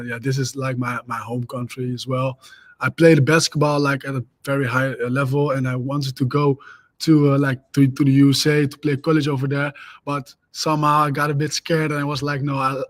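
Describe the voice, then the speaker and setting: A man's voice: medium pitch, no room sound. A young man with a Dutch accent, a native Dutch speaker, talking eagerly and energetically as a podcast guest. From Netherlands. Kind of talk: casual interview chat.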